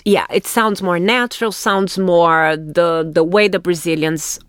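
A woman's voice: on the low side, a little slow, clear, resonant tone, dry recording. A woman co-hosting a conversational language lesson, agreeing often and adding examples as the native-speaker guide. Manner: agreeable, chimes in with affirmations and examples.

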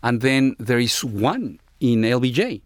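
A middle-aged man from Ecuador with an Ecuadorian Spanish accent, speaking reflectively as an interviewee in a halting, searching way. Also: mid-range voice, very animated, dry recording. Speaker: interviewee, university academic.

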